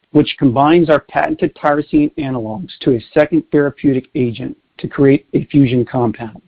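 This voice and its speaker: A man presenting as a company executive, reading prepared corporate remarks in a formal, measured way. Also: medium pitch. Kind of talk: scripted corporate earnings call presentation. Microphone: telephone. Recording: tinny narrowband conference phone line.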